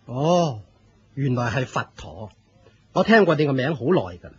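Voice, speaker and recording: An actor playing a proud, fervent leader of a fire cult in a Hong Kong Cantonese radio drama, declaiming with pompous authority. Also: male voice, medium pitch, sing-song, normal speed, breathy edge, highs cut off. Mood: authoritative.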